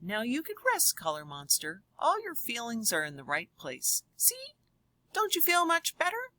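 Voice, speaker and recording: A woman voicing a caring little girl who guides a muddled monster friend in a picture book, expressive and sing-song. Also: a little high, normal speed, dry recording, pitched above the performer's own voice, exaggerated voice. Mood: soothing. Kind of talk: children's picture book read-aloud.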